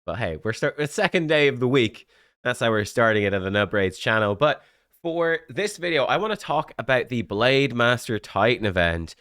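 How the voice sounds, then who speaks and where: A man with a stuffy, slightly rough voice from a cold, giving a chatty, rambling breakdown of a game event as a gaming channel host. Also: on the low side, a bit bright, no echo, studio quality. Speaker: gaming YouTuber. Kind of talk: casual game commentary.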